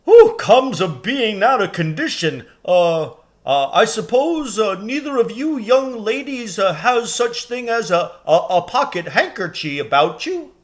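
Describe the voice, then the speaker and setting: A man's voice: high voice, sing-song, unhurried, hardly any pauses, pitched above the performer's own voice. A man voicing a bumbling, good-natured giant from a storybook, polite and deferential, with a hesitant, stammering way of talking. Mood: puffed, flustered. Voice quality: puffing, breathy effort.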